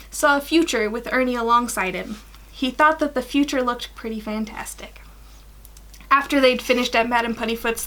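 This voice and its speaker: Young female amateur story reader, high-pitched and crisp-edged, slightly stumbly delivery. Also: a bit bright. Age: young adult. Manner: stumbles over words while reading. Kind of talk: reading fan fiction aloud. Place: small attic room indoors.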